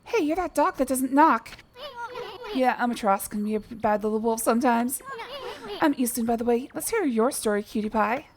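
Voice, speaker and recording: A woman's voice: a little high, normal speed, natural voice. A woman voicing a small, cocky male sidekick who talks with swagger and flirts. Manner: swaggering, teasing. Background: game music.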